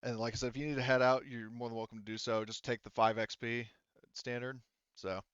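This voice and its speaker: A man working as game master, narrating a tabletop roleplaying game in a halting, informal way. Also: mid-range voice, little pitch movement, fairly bright tone, clear, resonant tone, no room sound, muffled recording. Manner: halting, informal, talks around points.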